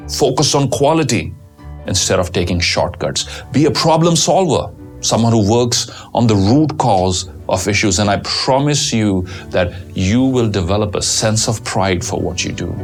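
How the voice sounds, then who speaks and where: A man reciting a poem and giving a motivational talk in a slow, deliberate, earnest way. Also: on the low side, expressive, creaky, faint music behind. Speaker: motivational speaker. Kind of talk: poetry reading and motivational talk.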